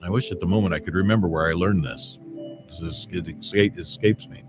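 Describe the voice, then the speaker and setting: A man hosting a morning internet radio show, chatting in a relaxed, reflective way about a self-help book before playing songs. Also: low voice, fairly quick, over music. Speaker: radio host.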